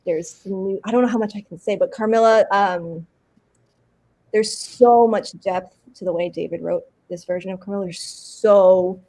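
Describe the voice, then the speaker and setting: A bubbly woman speaks in a relaxed interview. She laughs easily and talks in quick, excited bursts at a middle pitch. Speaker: actor. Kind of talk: casual interview chat.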